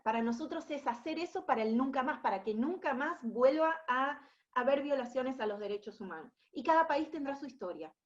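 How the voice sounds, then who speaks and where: A woman's voice: fairly high pitch, dull audio. A woman in her thirties from Argentina with an Argentine accent, giving an explanatory talk as an education specialist, often checking in with her listeners and restarting her phrases. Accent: Argentine Spanish. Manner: explanatory, frequent tag questions and restarts. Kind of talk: presentation talk.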